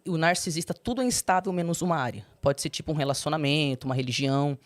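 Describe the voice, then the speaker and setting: Interview guest, young woman, low-set voice, expressive, leaps up when quoting. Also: fast talker. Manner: expressive, swings into high animated quoting. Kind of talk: candid personal storytelling.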